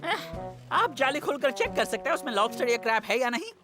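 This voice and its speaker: Cartoon fisherman, friendly, patient, animated intonation, music behind the voice. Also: very high voice, a little fast. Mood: practical. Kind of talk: animated children's cartoon dub.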